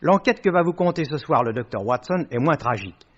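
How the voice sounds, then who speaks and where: A male radio presenter with a moderately high voice. He delivers a formal scripted introduction in a polished, courteous way. The sound is dull, as on an old broadcast recording. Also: lively intonation, brisk. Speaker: producer presenting a radio series.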